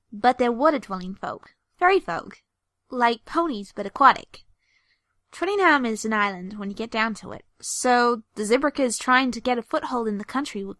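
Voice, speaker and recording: An excitable, bookish young mare, acted by a woman talks in a high, bright voice that swoops widely. She is an earnest, easily flustered history buff in an audio drama, recorded close and dry. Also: dry recording. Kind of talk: animated audio drama acting.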